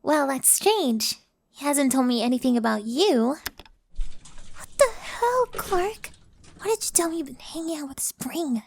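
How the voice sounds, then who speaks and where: A woman playing a high-voiced, flustered young girl. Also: lively intonation, a little slow, creaky, no room sound, exaggerated voice. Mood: hurt, flustered. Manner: stammering, breathless, emotional. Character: flustered, starstruck, insecure young delivery girl. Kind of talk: scripted audio roleplay acting.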